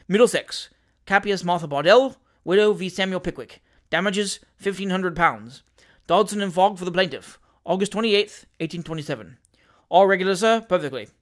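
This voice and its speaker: A man's voice: high-pitched, expressive, moderate pace. A man voicing a pompous, taunting Victorian lawyer in an audiobook, with emphatic oratorical delivery and false politeness. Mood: self-important, officious. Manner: oratorical, emphatic, sternly self-important.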